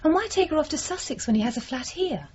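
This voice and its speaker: An actress playing a bereaved sister who asks plain questions, in a 1980s radio drama. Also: female voice, sits high, lively intonation, quick pace, darkish tone. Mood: troubled, questioning. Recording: dull, muffled radio broadcast recording.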